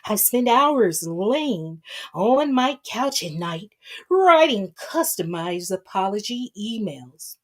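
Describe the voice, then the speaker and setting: A woman voicing a meek, docile old man who plays the victim in a soft, earnest dramatic reading. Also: medium pitch, sing-song, takes it slowly. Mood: earnest, self-pitying.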